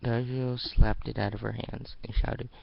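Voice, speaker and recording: A man giving an amateur dramatic reading of a story, narrating in a halting, deliberate way. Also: on the low side, little pitch movement, vocal fry, husky, dry recording, lo-fi sound. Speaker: amateur reader.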